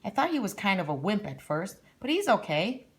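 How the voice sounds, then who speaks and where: A woman's voice: mid-range voice, big pitch swings, a little fast, natural voice. A cheerful young girl from a storybook played by a woman reader. She is frank and friendly, chatting her way through her tale. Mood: warm, chatty. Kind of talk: storybook read-aloud.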